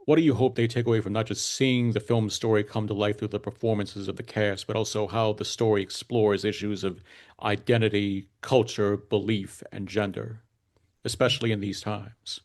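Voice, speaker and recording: A man's voice: lowish voice, little pitch movement, moderate pace, darkish tone. A man hosting an interview podcast, asking guests questions. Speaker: podcast host.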